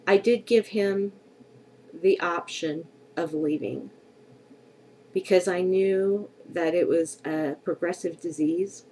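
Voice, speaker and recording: A woman's voice: fairly low pitch, darkish tone, a little hiss or hum, rough recording. A middle-aged woman vlogging to her webcam at home, congested from a cold, speaking candidly and reflectively with many hesitations. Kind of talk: personal vlog to camera. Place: bedroom at home, indoors. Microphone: webcam at desk distance.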